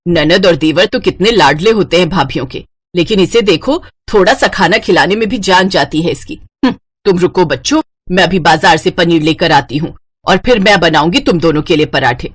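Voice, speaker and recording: A woman voicing a frail, doting old mother-in-law in an animated story, fussing and plaintive. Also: fairly low pitch, moderate pace, slightly rough, dry recording. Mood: indignant, doting. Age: older. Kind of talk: animated moral-story cartoon voice acting.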